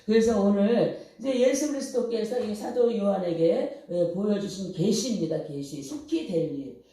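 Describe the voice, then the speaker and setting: A woman's voice: mid-range voice, expressive, moderate pace, mellow. A middle-aged woman pastor preaching a revival sermon, telling stories and urging the congregation to repent. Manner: preaching with storytelling, fervent calls to repent. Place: church hall. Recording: very echoey hall, far from the mic.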